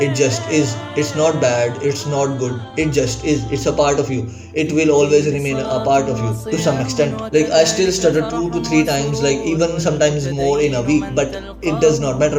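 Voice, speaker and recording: Young man, earnest casual advice, mic set back, nasheed vocals clearly under him. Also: a little high, fairly even intonation, moderate pace, few pauses. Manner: earnest, repeats "bro" and "like", small restarts. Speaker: student giving self-help advice. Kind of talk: casual advice talk to camera. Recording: distant mic with clear background music.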